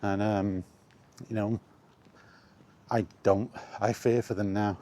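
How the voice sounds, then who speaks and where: Slow, low-voiced man in a rambling vlog. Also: flat delivery, warm tone. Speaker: vlogger. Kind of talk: casual walking vlog commentary on video games. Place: outdoors, walking.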